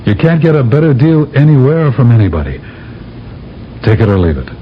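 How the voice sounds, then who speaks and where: A man playing a smooth-talking space captain. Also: mid-range voice, sing-song, fairly quick, highs cut off, a little hiss or hum, close to an everyday voice. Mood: persuasive. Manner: wry, easygoing storytelling. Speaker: narrator of his own story. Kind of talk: 1970s radio drama acting. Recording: vintage radio broadcast with music bed.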